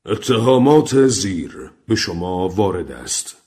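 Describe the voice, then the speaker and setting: A man plays a stern accuser in an audio story. He pronounces each charge slowly and solemnly, in a declamatory male voice with lively pitch swings. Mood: solemn, accusing.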